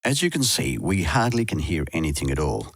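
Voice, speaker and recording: Deep voiced guy reading a tutorial script, close mic, super dry sound. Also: sing-song, fairly quick, dry recording. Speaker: tutorial presenter. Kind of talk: scripted instructional narration. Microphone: close dry voice-over microphone.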